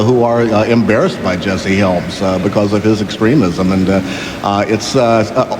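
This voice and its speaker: A man giving casual political commentary as a guest, with hesitant, drawn-out phrasing and joking asides. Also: fairly low pitch. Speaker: pundit guest. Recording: echoey, reverberant, noisy background.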